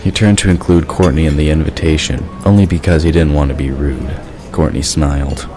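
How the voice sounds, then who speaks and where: A man's voice: a bass voice, steady tone, a little fast, over music. A man reading scripted story narration as the narrator of an audio drama.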